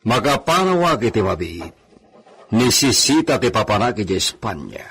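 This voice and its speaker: A man acting an authoritative, wealthy hacienda master in a radio drama, with a raspy voice. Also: on the low side, very animated, moderate pace, fairly bright tone, slight background noise. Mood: firm.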